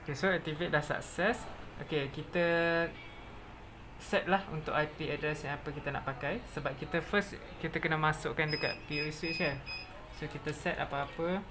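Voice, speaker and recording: A presenter with a Malaysian accent narrating a step-by-step software tutorial, mixing in English technical terms. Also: medium pitch, moderate pace, noisy background.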